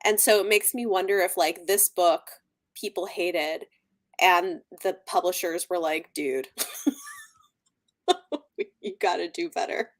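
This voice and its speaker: A woman in an informal book chat, thinking out loud and offering theories in a speculative way. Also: fairly low pitch, takes it slowly, pauses often. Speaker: book discussion co-host. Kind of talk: casual book-club chat.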